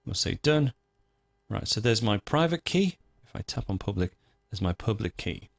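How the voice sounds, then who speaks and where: A man presenting a software tutorial, talking informally and methodically through each step as he goes. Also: lowish voice, sing-song, moderate pace, vocal fry, no echo, clean studio sound. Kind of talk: screencast tutorial, unscripted step-by-step narration.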